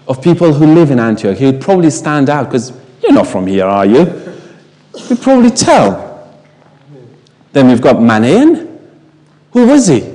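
A man's voice: a little high, dark tone, long reverb tail. A man whose first language is Farsi, preaching a sermon in an emphatic way, asking the congregation rhetorical questions.

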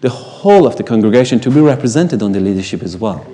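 A man's voice: on the low side, expressive, quick pace, mellow, in a large hall. A man whose first language is Farsi, preaching a sermon in an emphatic way, asking the congregation rhetorical questions.

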